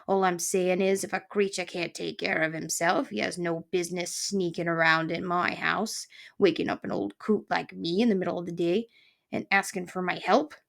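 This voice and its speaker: A woman voicing a cantankerous old male porcupine in a storybook, growling colorful, folksy insults in a gravelly voice. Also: on the low side, fairly even intonation, normal speed. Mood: grouchy, grumbling. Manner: barking colorful insults, folksy slangy speech.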